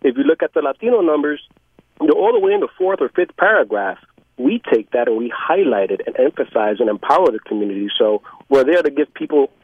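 A man with a husky voice heard over a thin, tinny phone connection. He is from the South Bronx and answers interview questions in an earnest, encouraging, conversational way. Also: mid-range voice, animated, fast talker, no room sound. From South Bronx, New York. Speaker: interview guest, media executive. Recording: tinny narrowband phone line.

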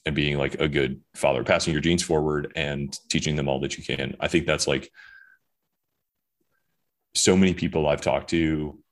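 A man's voice: a bass voice, fairly even intonation, moderate pace. A young man talking casually as a podcast guest, rambling with many fillers and restarts. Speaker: guest author.

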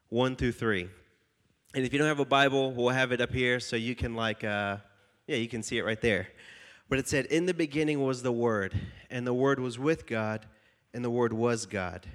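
A man's voice: mid-range voice, unhurried. A young man with a stuffy, slightly nasal voice from a cold, preaching casually and jokingly to a youth group and reading scripture aloud. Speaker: youth pastor.

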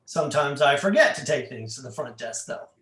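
A man playing a suspicious, chiding husband in a play reading, speaking pointedly and deliberately. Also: mid-range voice, lively intonation, a bit bright, natural voice. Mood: veiled menace. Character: nagging, suspicious husband with quiet menace. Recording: echoey room.

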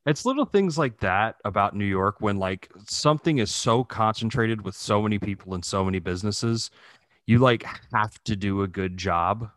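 A man's voice: on the low side, moderate pace, no echo. A man from Texas chatting casually on a podcast, slangy and freely swearing. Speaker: podcast host. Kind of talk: casual conversational podcast chat.

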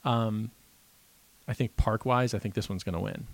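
A man's voice: on the low side, steady tone, normal speed, creaky, slight background noise. A man co-hosting a podcast, talking casually, rambling with fillers and laughing often. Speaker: podcast co-host. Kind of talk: casual chat.

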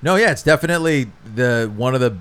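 A man co-hosting a casual podcast chat, animated and swearing often, sometimes slipping into mock voices. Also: mid-range voice, fast talker, smooth.

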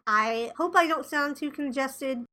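A woman's voice: high voice, unhurried, sharp tone. A woman in her twenties, a podcast co-host, talking in casual chat, sounding nasal and congested from a cold. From Tennessee, USA. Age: 20s.

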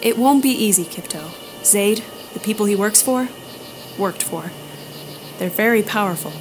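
A woman's voice: mid-range voice, moderate pace, music in the background, lightly acted. A woman playing a tough female space smuggler in an audio drama, with a sardonic, casual manner. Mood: guarded, serious.

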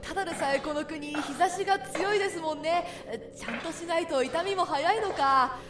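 Very high-voiced boyish young scholar. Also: female voice, normal speed, noisy background. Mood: puzzled, mild. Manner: polite, boyish, excitable. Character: eager, bookish, timid young male scholar. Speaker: actor in a radio drama. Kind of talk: theatrical radio drama acting. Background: music.

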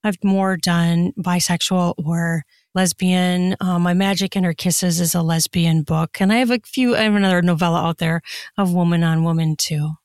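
A woman's voice: fairly low pitch, fairly even intonation, normal speed, darkish tone, clear, resonant tone, no echo, clean studio sound. A woman chatting casually as a podcast guest, explaining things in a rambling, conversational way. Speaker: podcast guest, erotic fiction author and podcaster. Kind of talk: casual interview chat.

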